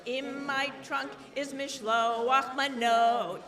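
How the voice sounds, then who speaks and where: A high-pitched woman leads a live children's sing-along with unhurried, drawn-out delivery. She is playful and animated, prompting the young audience. The sound is a big, reverberant hall with music. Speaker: children's event song leader. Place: large indoor social hall. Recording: echoey social hall with music.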